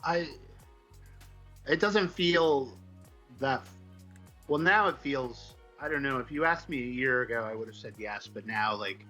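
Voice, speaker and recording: A man answering interview questions in a casual, halting, self-deprecating way, thinking out loud as he rambles along. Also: mid-range voice, soft background music, poor audio. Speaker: comic book writer being interviewed.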